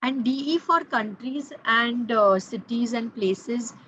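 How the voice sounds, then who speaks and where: A woman's voice: fairly high pitch, slow pace, fairly bright tone, lo-fi sound, a little hiss or hum. A woman, teaching French grammar patiently, repeating key points and spelling out letters. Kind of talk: explaining grammar to students.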